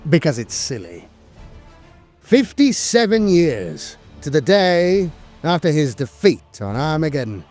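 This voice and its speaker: A man's voice: fairly high pitch, a little mellow, dry recording. A man narrating scripted lore in a dramatic, wry storytelling manner.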